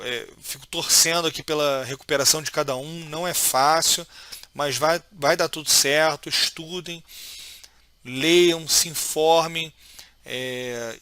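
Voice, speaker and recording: Male vlogger, 30s, high voice, dry close sound, chatty and earnest. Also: bright, forceful tone, no room sound. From Brazil. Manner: informal, many fillers and restarts, earnest. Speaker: health video host. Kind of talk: casual video monologue to viewers.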